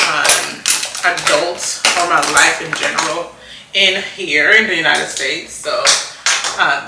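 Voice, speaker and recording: A woman from Rwanda in her thirties, chatting casually and softly while doing her makeup, rambling with lots of fillers and restarts. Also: deep voice, far from the mic, heavy background noise. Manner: soft-spoken, rambling, many fillers and restarts. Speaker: beauty vlogger. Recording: echoey room, voice a bit off-mic.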